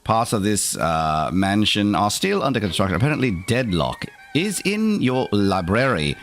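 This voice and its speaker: A man's voice: on the low side, sing-song, moderate pace, slight background noise. A man hosting an in-character livestream, talking theatrically in a put-on accent with dry, opinionated asides. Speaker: streamer host.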